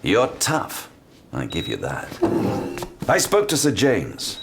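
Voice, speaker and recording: A tough demon-hunting detective in an audio drama, with a low, creaky voice. He is dry and gruff, his pitch swinging widely over a music bed. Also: wildly swooping pitch, slow, heavy background noise, heavily acted. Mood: wry, relieved. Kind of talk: full-cast audio drama acting. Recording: music behind the voice.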